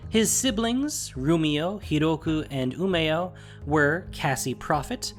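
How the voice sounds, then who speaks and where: Audio drama narrator, animated intonation, mid pitch, measured storytelling, music in background. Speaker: podcast narrator and producer.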